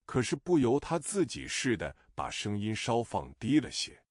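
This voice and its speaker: A man's voice: mid-range voice, huge pitch swings, moderate pace, dry recording, faint music behind. A man reading a novel aloud as narrator, expressively voicing the characters' lines. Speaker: audiobook narrator.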